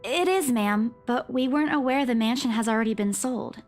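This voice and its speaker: A woman's voice: fairly high pitch, fairly quick, faint music behind, pitched above the performer's own voice, lightly acted. Woman doing a perky young heroine. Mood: puzzled. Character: spunky young woman realtor, Isabella, brushing off injury. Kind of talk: visual novel reading.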